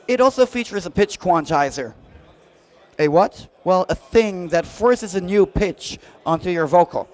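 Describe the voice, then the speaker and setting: High-pitched man giving a casual demo. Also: lively intonation, unhurried, darkish tone. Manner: jokey, chatty, slangy. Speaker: product demonstrator at a music trade show.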